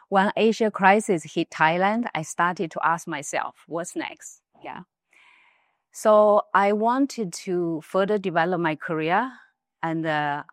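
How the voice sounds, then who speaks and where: Middle aged chinese lady w chinese accent, low voice, talking thru her career, dry close sound. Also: smooth, no echo. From Wuhan, China. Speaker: interview guest, business executive. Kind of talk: recounting a career in conversation.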